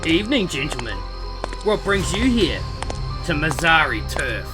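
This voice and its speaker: A man's voice: fairly high pitch, sing-song, unhurried, over music. A man playing a smug, menacing young gang fighter in a visual novel, mockingly polite and theatrical. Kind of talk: acted visual novel dialogue.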